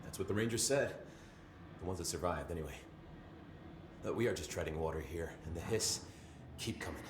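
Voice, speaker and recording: An actor playing a weary, sardonic security chief in a video game, speaking informally. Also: male voice, deep voice, brisk, echoey room, noisy background, lightly acted. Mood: sardonic, resigned. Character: wry, weary male security chief under siege. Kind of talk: video game cutscene dialogue.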